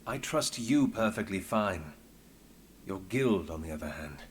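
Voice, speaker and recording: An actor playing a guarded, authoritative inspector in a game, speaking in a dry, measured, formal way. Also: male voice, low voice, animated, a little hiss or hum.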